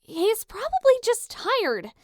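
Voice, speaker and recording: An anxious teenage heroine from a fantasy story, acted by a woman, with a voice that jumps high. She sounds emotional, snappy and defensive, in a dry recording. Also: huge pitch swings, slow, dry recording, heavily acted. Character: Piper, anxious, defensive teenage girl demigod. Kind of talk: dramatic reading of a fan story.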